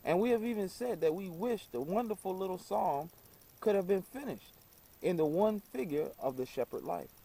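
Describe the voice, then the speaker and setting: Man reading as genteel, frank tea-table lady, higher pitch. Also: expressive, moderate pace, vocal fry, mellow, slightly rough, full character voice. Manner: polite, frank, formal. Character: genteel, opinionated lady hostess of the teacups.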